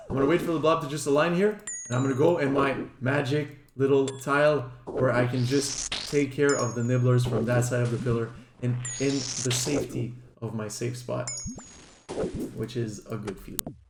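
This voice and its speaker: A man whose first language is French gives casual gameplay guide commentary as a streamer, chatting informally. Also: mid-range voice. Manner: informal, chatty, occasional swearing. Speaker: gaming streamer. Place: indoors at a computer. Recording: roomy echo, faint background noise.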